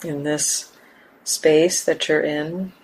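Slow, even-toned woman guiding meditation. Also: deep voice, breathy edge, poor audio. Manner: gentle, unhurried, with long pauses. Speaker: meditation teacher. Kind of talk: guided meditation. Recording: steady background hiss and room ambience.

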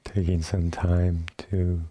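Male meditation teacher, low-pitched and very unhurried, gentle delivery in short phrases with generous silences. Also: flat delivery, husky. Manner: gentle, sparse phrases with long pauses. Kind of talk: guided meditation. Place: meditation center hall.

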